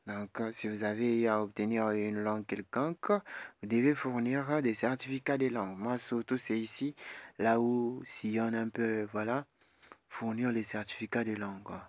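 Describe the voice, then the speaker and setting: Guy doing a screen walkthrough, kinda out of breath, chatty and repeats stuff, average pitch, dry close sound. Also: dry recording. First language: not English; French preferred language. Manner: chatty, repeats himself, many fillers. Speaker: YouTube channel host giving an application tutorial. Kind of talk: casual screen-recorded walkthrough.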